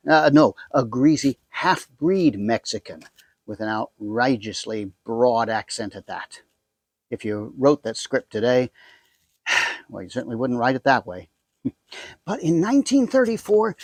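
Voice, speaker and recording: Husky American man, chatty host. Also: mid-range voice, no echo. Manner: chatty, wry, self-interrupting asides. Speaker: host introducing a radio drama recreation. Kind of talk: casual scripted introduction to camera.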